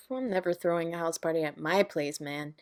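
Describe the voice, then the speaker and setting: A woman's voice: low-pitched, huge pitch swings, normal speed, creaky, exaggerated voice. A woman playing a brash, sarcastic, carefree young party lover in an audio drama, with casual, salty, sardonic delivery. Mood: breezy, playful.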